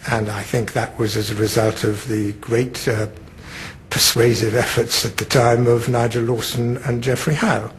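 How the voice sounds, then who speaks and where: A man speaking in a measured, hesitant way in an interview, with frequent ums. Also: mid-range voice, little pitch movement, slight background noise. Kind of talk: interview recollection.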